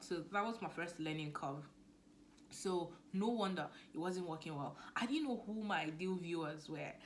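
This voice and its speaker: A young woman with a Nigerian accent talking casually to camera as a business coach, lively and now and then dropping to a near-whisper. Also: fairly low pitch, a little hiss or hum. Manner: chatty, animated, drops to near-whisper asides.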